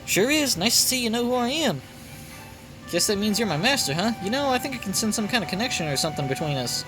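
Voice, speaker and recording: A man voicing a cocky young swordswoman painter in a game, with rough, slangy, boastful talk. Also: high-pitched, very animated, fast, over music, pitched above the performer's own voice, poor audio.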